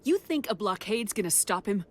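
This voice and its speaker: A woman playing a resolute, caring military commander in a video game, firm, measured and direct. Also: mid-range voice, animated, lightly acted. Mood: firm, determined. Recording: faint background ambience noise.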